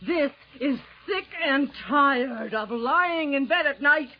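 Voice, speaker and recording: A resentful wife drags out her accusations slowly in a high, tight voice. The old radio recording sounds dull and muffled. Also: lively intonation, full character voice. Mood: furious. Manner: bitter, accusing. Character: resentful neglected wife of a scientist. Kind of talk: 1980s radio drama.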